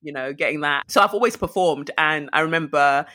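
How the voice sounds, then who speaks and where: Woman from Nigeria, podcast guest, lively and self-mocking, bright forward voice. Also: deep voice, brisk. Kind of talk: casual interview storytelling. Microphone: remote call.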